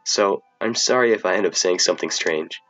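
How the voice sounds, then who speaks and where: A man who says he is sick, reading the lines of a shy, bookish teenage girl in a quiet, hushed voice. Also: deep voice, brisk, faint music behind, pitched below the performer's own voice, poor audio.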